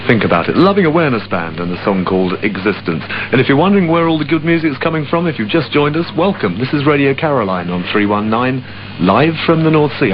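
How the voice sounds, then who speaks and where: A man's voice: mid-range voice, background noise. A man presenting a late-evening radio show from a ship's studio, easygoing and chatty with small hesitations. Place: offshore radio ship studio. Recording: dull AM radio aircheck with music under.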